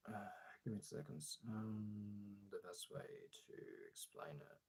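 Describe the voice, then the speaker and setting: A man chatting casually as a streamer, thinking aloud haltingly as he searches for words and trails off. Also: lowish voice, vocal fry, slightly rough.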